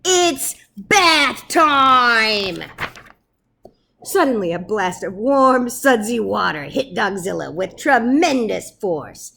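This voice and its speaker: A woman reading a children's story aloud as narrator, dramatic and expressive. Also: medium pitch, measured pace, creaky, few pauses, a little hiss or hum. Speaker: storybook reader. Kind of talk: animated read-aloud narration.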